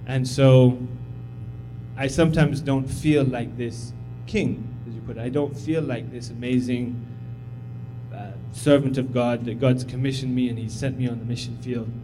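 Casual guest preacher, a man raised in Tanzania, mid-pitched, soft music under him. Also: fairly even intonation, moderate pace, low-quality recording. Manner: humble, casual, encouraging, with many fillers. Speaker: visiting missionary speaker. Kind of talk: informal church sermon. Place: church sanctuary.

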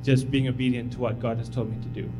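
A man's voice: medium pitch, level tone, quick pace, noisy background. A man in his thirties who grew up in Tanzania, giving an informal, humble sermon as a visiting missionary, encouraging and full of fillers. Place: church sanctuary.

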